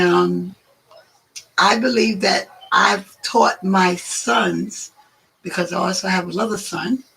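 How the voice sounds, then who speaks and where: A hoarse woman chats as a guest, rambling and drawing out her stories. Her voice is gravelly, with a sharp, bright edge on top. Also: mid-range voice, low-quality recording. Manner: chatty, rambling, drawn-out. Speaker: guest, host's mother. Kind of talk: casual storytelling chat.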